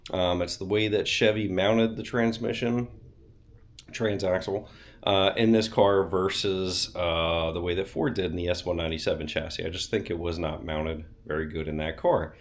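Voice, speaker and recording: A deep-voiced man talks through a car project in a chilly home garage. He is getting over a cold, so he sounds a bit nasal and sniffles now and then. His delivery is loose and chatty, full of fillers and blunt remarks. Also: fairly even intonation, moderate pace. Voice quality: slightly nasal. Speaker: car channel host. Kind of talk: casual garage vlog.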